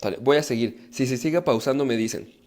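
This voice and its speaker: A man's voice: medium pitch, fairly quick, darkish tone. A man with a Mexican accent giving an informal live self-help talk to viewers, explaining emphatically and acting out inner dialogue as he goes. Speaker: livestream speaker on relationships and psychology.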